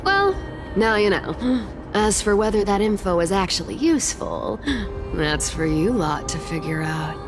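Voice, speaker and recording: A woman's voice: mid-range voice, very animated, unhurried, music in the background. A woman playing a sly, flippant young female trickster thief in a video game, with a playful, colloquial drawl. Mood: breezy, playful.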